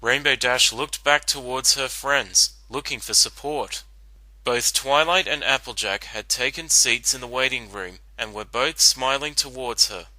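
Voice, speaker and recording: A man narrates a story as a scripted dramatic reading. His voice sits at a medium male pitch and is very bright and forward, recorded dry with no room sound. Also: a little slow, dry recording, rough recording.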